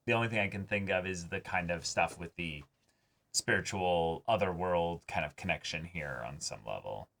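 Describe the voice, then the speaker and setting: A man with a fairly low voice speaks in casual discussion as a reaction show co-host. He thinks out loud in halting phrases and leans on profanity for emphasis. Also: vocal fry. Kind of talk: casual anime episode discussion.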